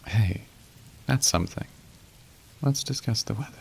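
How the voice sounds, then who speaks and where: A man's voice: on the low side, normal speed, vocal fry, a little hiss or hum. A man voicing a polite, wry incubus phone operator in an ASMR roleplay, suggestive yet awkward, with rain behind him. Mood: casual, chatty. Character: suave, awkward young male incubus working a phone line. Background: falling rain.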